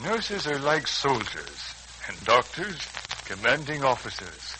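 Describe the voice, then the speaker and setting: Calm, wry senior doctor, lively intonation. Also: male voice, medium pitch. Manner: measured, avuncular. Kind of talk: 1940s radio serial acting. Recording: old radio recording, clear hiss.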